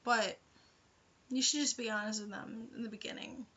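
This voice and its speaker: A woman's voice: fairly high pitch, steady tone, normal speed, a bit bright, husky, lo-fi sound. A young woman vlogger giving casual, chatty advice in an informal, hedging way with lots of filler phrases, on a home recording. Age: young adult. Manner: informal, hedging, frequent "like" and "you know". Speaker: vlogger giving interview tips.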